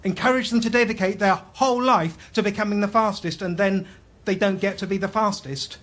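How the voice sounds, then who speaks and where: Sports champion, high voice, literal-minded, self-absorbed, blunt, radio comedy. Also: male voice, fairly even intonation, a little fast, fairly bright tone. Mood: indignant, insistent. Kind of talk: radio sketch comedy acting.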